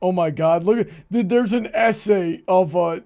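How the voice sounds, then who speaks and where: A man's voice: high-pitched, normal speed, warm tone. A man hosting a show with casual, incredulous and exasperated commentary. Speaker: host.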